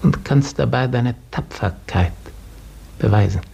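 An actor playing a condescending aristocratic count in a 1950s German radio drama, with a gravelly, husky voice and a measured, lordly manner. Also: male voice, mid-range voice, warm tone, full character voice. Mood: lordly, magnanimous. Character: condescending aristocratic count, master over serfs.

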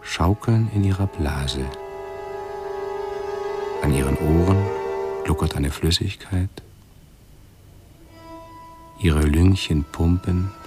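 A scholarly hypochondriac in a radio play, really low voice, halting anxious muttering, music behind. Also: male voice. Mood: brooding. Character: anxious, suffering hypochondriac man with a scholarly obsession. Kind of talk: 1970s German radio drama acting.

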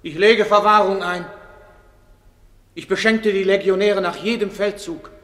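Casting: proud, indignant Roman commander. High, imperious declamation, radio play, strongly echoing hall. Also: male voice, steady tone, a little slow, fairly bright tone. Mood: indignant, scornful. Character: haughty dead Roman general on trial, indignant. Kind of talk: theatrical radio drama. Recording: echoey hall, long reverb.

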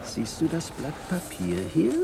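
Theatrical, slow-talking schemer. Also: male voice, on the high side, big pitch swings, creaky, heavily acted. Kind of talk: German radio drama acting. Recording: noisy digitized cassette radio play.

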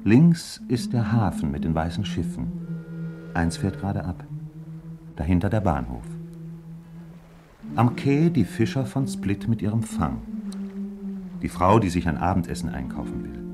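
A man narrating a 1950s German radio play as a contemplative storyteller, measured and literary, pausing between phrases. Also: sits low, big pitch swings, over loud music. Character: measured, contemplative storyteller describing an old harbour town.

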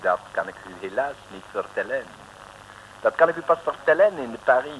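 An actor playing a suave French secret agent posing as a waiter in a 1960s radio comedy, with a French accent and over-polite manner. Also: male voice, mid-range voice, lively intonation, moderate pace, fairly bright tone, dull audio, lightly acted. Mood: effusive, secretive. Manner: florid, over-polite, formal. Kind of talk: 1960s comic radio serial acting. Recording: noisy old radio recording.

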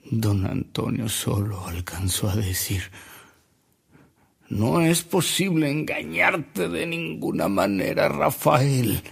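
An actor playing a frail, remorseful old man who narrates his past in a radio drama, slowly and reflectively, with a husky, gravelly voice. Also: medium pitch, very animated, exaggerated voice. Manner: slow, reflective, with coughs and pauses.

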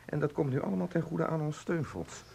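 A man's voice: on the high side, animated, a little fast, gravelly, dark tone, slight background noise. An indignant, insistent bookish man. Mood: bitter, sarcastic. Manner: insistent, aggrieved. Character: agitated divorcing man, bookish, obsessed with his work. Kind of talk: Dutch radio play acting.

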